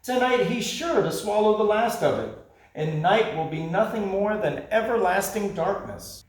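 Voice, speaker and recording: A man's voice: high-pitched, moderate pace, pitched above the performer's own voice, lightly acted. A man voicing whimpering, timid jungle critters. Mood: pouting. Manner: whimpering, pleading. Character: timid, whiny chorus of jungle night creatures. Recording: echoey room.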